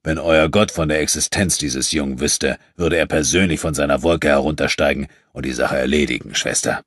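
Sardonic gruff man acted by a male reader, deep, husky growl, scornful, audiobook character. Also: fairly quick, no room sound, studio quality. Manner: growling, grumbling, disparaging.

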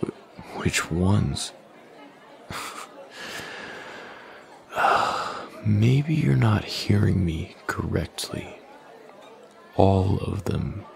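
Man playing a slow, gravelly, menacing young bully. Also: animated, a faint crowd, heavily acted. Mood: coldly threatening. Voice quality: low, gravelly, often half-whispered. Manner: slow, taunting, domineering. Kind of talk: boyfriend ASMR roleplay, close and breathy.

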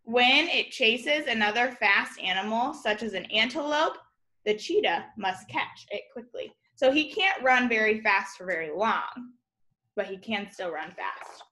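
A young woman reads aloud to children like an elementary teacher. Her voice is fairly high and very bright, and she takes her time with each phrase. She is expressive, slipping informal asides and simple explanations in between the lines of the book. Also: measured pace. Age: young adult. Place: room at home, indoors.

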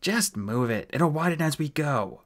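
A man's voice: a little high, expressive, moderate pace, voice pushed up, natural voice. A man voicing a sulky, cheeky dwarf convict from an audiobook, with slangy, flippant wheedling. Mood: urgent.

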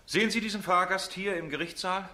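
An actor playing a formal prosecuting counsel in a courtroom radio play, questioning methodically. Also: male voice, high voice, fairly quick, pushed and bright.

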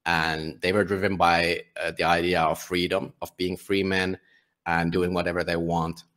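A man's voice: low-pitched, level tone, normal speed, a bit bright. A man from Finland, a native Finnish speaker, talking casually and bluntly as an interview guest, swearing freely. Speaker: podcast guest, volunteer book publisher.

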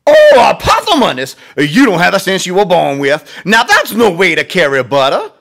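A man's voice: high voice, wildly swooping pitch, moderate pace, creaky, a bit bright, pitched above the performer's own voice, full character voice. A man voicing an exasperated, scolding mother in a storybook, with emphatic delivery. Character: exasperated, scolding mama, loud and shrill.

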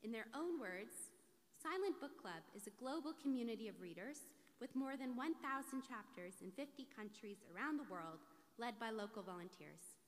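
A woman rabbi delivering a scripted sermon with measured, deliberate phrasing in a large sanctuary. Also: fairly high pitch, animated. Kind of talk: scripted sermon, read from a lectern. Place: large synagogue sanctuary, indoors. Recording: reverberant large hall.

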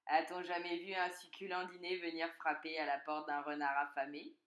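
A woman's voice: deep voice, level tone, normal speed, a bit bright, reverberant, voice pushed down. A woman voicing a hungry, boastful and gullible male fox in a children's storybook, with a gloating, theatrical manner.

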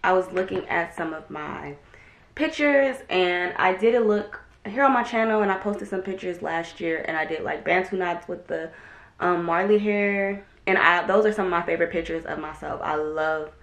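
A young woman vlogging at home, chatting casually to a camera a few feet away, relaxed, with lots of "um" and "like". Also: on the low side, moderate pace. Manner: chatty and relaxed, many "um" and "like" fillers. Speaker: lifestyle vlogger. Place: bedroom or dressing room at home, indoors. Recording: roomy echo of a bare room.

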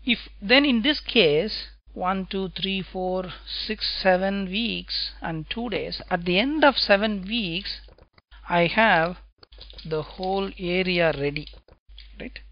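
Male instructor, unhurried step-by-step explainer, dry close recording. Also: high voice, dry recording, lo-fi sound. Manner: slow, deliberate explaining, tag questions "right?". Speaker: software testing instructor. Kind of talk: screencast lecture.

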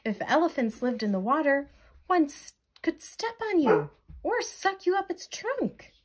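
A worrying storybook jellyfish, acted by a woman, speaks in a high voice with big swings in pitch. It sounds incredulous and exasperated, dragging its words out slowly. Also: big pitch swings, noisy background. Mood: alarmed, worried. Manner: incredulous, fretful. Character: anxious, exasperated jellyfish, the sensible worrier. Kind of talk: picture-book read-aloud character.

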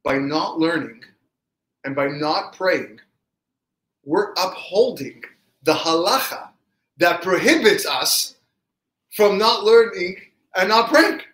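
A man's voice: fairly high pitch, expressive, normal speed, fairly bright tone, voice pushed up. A man voicing an earnest, fervent rabbi in a religious story, insistent and repeating key phrases. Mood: amazed, exultant. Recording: echoey room.

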